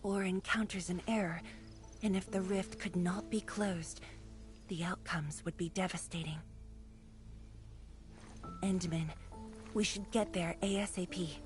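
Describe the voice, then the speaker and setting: A woman's voice: fairly low pitch, steady tone, a little slow, pauses often, lightly acted. An actress playing an earnest, dutiful young female companion in a sci-fi video game. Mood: earnest, serious. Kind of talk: scripted video game dialogue. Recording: background music.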